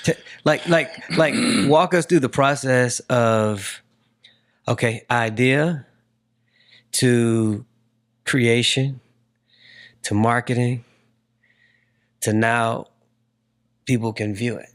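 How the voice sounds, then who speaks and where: A man hosting a podcast in a casual, conversational way, halting and repeating words as he frames his questions and interjects. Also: mid-range voice. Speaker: podcast host.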